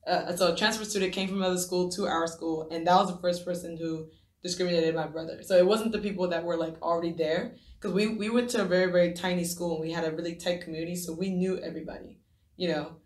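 A woman's voice: on the low side, steady tone, fast talker, darkish tone. Rambling young woman in an echoey room. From Japan. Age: 20s. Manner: rambling, with many restarts and likes. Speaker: podcast guest. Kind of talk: casual conversational storytelling.

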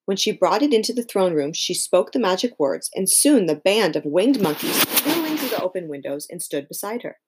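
A woman reading a children's story aloud as scripted narration, in an expressive style. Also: medium pitch, moderate pace, hardly any pauses, background noise. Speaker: storybook reader.

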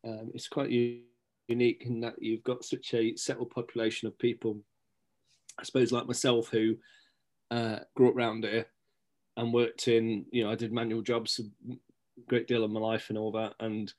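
A man's voice: mid-range voice, completely flat delivery, moderate pace, a little mellow. A middle-aged man, a guest in a casual interview, talking in rambling sentences with many fillers and restarts. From Scotland-born, raised in Stapleford, England. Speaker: guest, local magazine editor.

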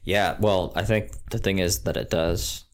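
A man's voice: low voice, steady tone, a little slow. A young man chatting casually on a podcast over a headset mic, laid-back and wry. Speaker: podcast co-host. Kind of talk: casual chat.

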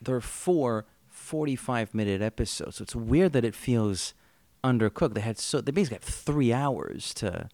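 A man co-hosting a podcast, chatting casually about a TV miniseries with quick asides and easy laughs. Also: medium pitch, expressive, a little mellow, no echo. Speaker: podcast co-host. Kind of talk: casual review chat.

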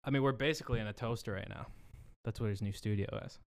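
A man's voice: on the low side, fast talker. A man co-hosting a casual comedy podcast, joking around, laughing often and repeating bits playfully. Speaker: podcast co-host.

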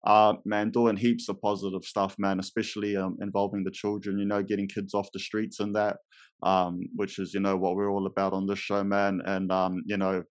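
A man's voice: deep voice, monotone, normal speed, dry recording. A man hosting an interview in a laid-back way, calling the guest bro and brother and filling gaps with um. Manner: laid-back, calls everyone bro and brother, many fillers. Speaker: podcast host. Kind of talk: casual interview.

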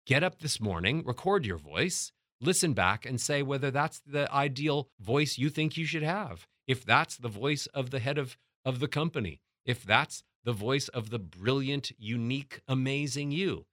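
A middle-aged man teaching as a voice coach, emphatic and demonstrating how to change loudness and pitch. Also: dry recording, clean studio sound. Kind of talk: motivational teaching talk.

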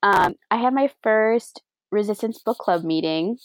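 A young woman co-hosting a casual podcast, chatting in a rambling, animated way with lots of fillers and a few Spanish words mixed in. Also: medium pitch, measured pace, no echo, poor audio. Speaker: podcast co-host. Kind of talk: casual chat. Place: lives in Madrid.

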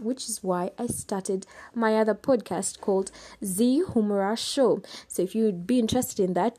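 A young adult woman with a Ugandan accent, hosting a solo podcast and explaining things in an unhurried way with some hesitant fillers. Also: mid-range voice, warm tone. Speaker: podcast host.

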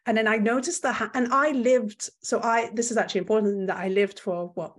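A woman's voice: fairly high pitch, fairly even intonation, brisk. A woman whose first language is French, speaking as an opinionated, emphatic guest in a conversational interview, often restarting her sentences. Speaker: guest, content creator.

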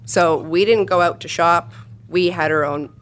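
A woman's voice: fairly low pitch, steady tone, moderate pace. A woman from Canada whose first language was Ukrainian, chatting casually as a podcast guest. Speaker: podcast guest, business owner. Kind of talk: casual chat.

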